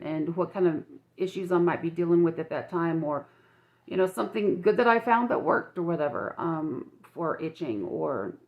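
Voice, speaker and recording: An older woman with a croaky, dry-mouthed voice, chatting frankly and rambling with many restarts in a personal vlog filmed on her phone at home. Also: lowish voice. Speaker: vlogger.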